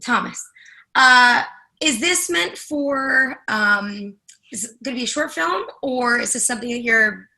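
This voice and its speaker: A woman's voice: on the high side, lively intonation, measured pace, vocal fry, pushed and bright, rough recording. A woman chatting casually in a discussion, playful and informal, feeling her way through questions.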